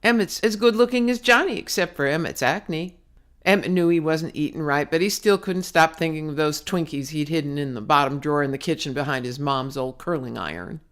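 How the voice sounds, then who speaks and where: A woman's voice: sits low, brisk. Woman reading a gritty novel aloud. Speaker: author reading her own novel. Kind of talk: scripted narration, audiobook reading.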